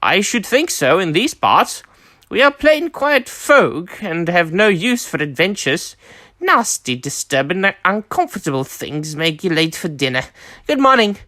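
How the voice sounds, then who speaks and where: A prim, fussy hobbit gentleman, set higher and fussier than everyday talk, as a man reads him aloud. He sounds proper and easily flustered, like a character from a storybook. The room is dry. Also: very animated, unhurried, no room sound, full character voice. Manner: prim, emphatic reading.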